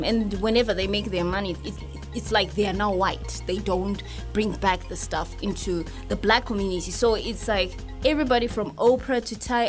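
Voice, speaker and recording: A woman hosting a casual entertainment discussion in a conversational, opinionated way. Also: medium pitch. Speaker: talk show host. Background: music playing behind the voice.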